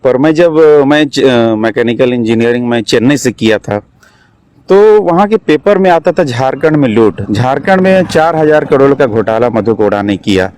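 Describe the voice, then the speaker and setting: A man's voice: mid-range voice, animated, normal speed, a little mellow, rough recording. A young man from Jharkhand with a Jharkhand Hindi accent, answering interview questions as an election candidate in an earnest, exhorting manner. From Jharkhand, India. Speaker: election candidate, political activist.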